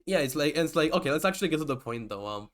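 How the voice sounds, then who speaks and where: A man's voice: fairly high pitch, expressive, very fast, fairly bright tone. Young guy, georgian is his first language, lecturing loosely, keeps restarting and wandering off point. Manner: rambling, digressive, with many restarts. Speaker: typology lecturer. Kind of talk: casual lecture to camera.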